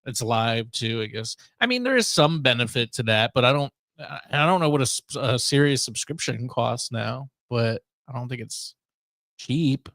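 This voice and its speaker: A man's voice: medium pitch, animated, normal speed, a bit bright, no echo. A man hosting a radio show in casual, unscripted conversation, reacting to his co-host and asking questions.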